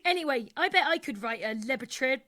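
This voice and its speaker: Naive, cheerful, slow-witted female pub regular, played by a woman, very high bright voice, for radio comedy. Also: lively intonation. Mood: puzzled, confident.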